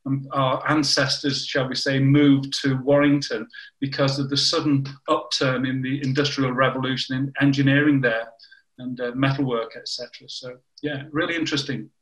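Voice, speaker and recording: A man's voice: medium pitch, flat delivery, moderate pace, fairly bright tone, reverberant, rough recording. An older man from the north of England with a northern English accent, telling anecdotes in an interview and often restarting his sentences. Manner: anecdotal, self-correcting with frequent restarts. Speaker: interviewee, former scientist. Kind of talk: conversational interview.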